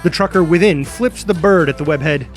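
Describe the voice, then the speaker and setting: A man's voice: on the high side, a little fast, over music. A man reading scripted screenplay narration and stage directions dramatically, voicing the sound effects himself.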